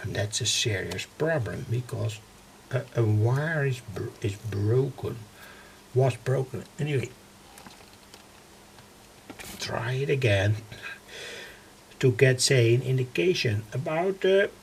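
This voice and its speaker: Slow gravelly man at his workbench. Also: medium pitch, expressive, a little hiss or hum. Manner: rambling, self-correcting, thinking aloud. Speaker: radio hobbyist demonstrating equipment. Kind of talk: casual workbench demonstration.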